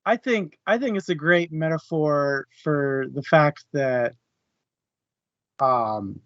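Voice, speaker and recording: A man's voice: fairly high pitch, no echo, dull audio. A man hosting a casual book discussion, talking informally as he works through his thoughts. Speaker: discussion host.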